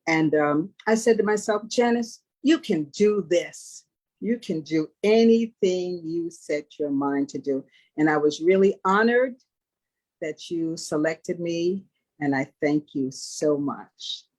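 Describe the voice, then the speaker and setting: A woman in her mid-sixties speaks in a lowish voice at an unhurried pace. She answers as an interview guest, measured and earnest. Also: animated, darkish tone. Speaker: stage manager, interview guest.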